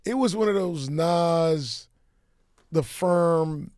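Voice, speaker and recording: Raspy-voiced man, animated in a dry studio. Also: fairly high pitch, very slow, no room sound. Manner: animated storyteller, talks freely. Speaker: music executive, guest. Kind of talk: casual interview talk.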